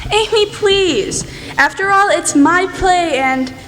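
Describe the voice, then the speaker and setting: A woman's voice: very high-pitched, animated, takes it slowly, a bit bright, exaggerated voice. A young woman playing a bold, headstrong teenage tomboy sister in a radio play, with emphatic, theatrical delivery. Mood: exasperated, dramatic. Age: teens or young adult. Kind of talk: student radio play acting. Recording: reverberant hall, clear background noise.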